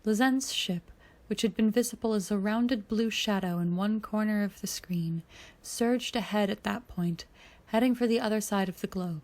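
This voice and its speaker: A woman narrates a piece of fiction from a script. Her voice sits at a medium pitch, and she reads as the storyteller of an audio fan fiction. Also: normal speed, a little mellow. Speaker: podfic narrator.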